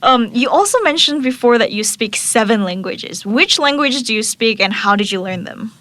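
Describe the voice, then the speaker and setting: A woman's voice: fairly high pitch, animated, normal speed, a bit bright, dry recording. A woman hosting an interview podcast, politely introducing her guest from notes and asking questions. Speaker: podcast host.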